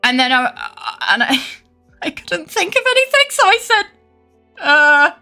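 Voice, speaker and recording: A young woman streams a game and chats away in a high, bright voice that leaps all over the place. She swears freely and laughs often, with soft game music underneath. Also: a little slow. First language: English. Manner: animated, swearing, frequent laughter. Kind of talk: casual chat while gaming.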